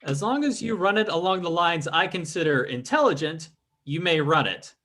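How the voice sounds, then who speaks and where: An actor playing a sly, imperious fake psychic and crime boss in an old-time radio drama, speaking in a pompous, formal, commanding way. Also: male voice, fairly high pitch, moderate pace, a bit bright. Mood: stern, domineering.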